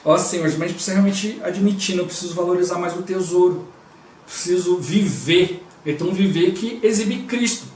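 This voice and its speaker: A Brazilian man preaches in a relaxed, conversational way, sprinkling his talk with quick prayers. His voice sits high for a man. He sounds set back from the mic in a reverberant room. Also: a little hiss or hum. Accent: Brazilian Portuguese. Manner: conversational, constantly interjects "O Lord Jesus" and "né". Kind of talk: devotional preaching. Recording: roomy and distant from the mic.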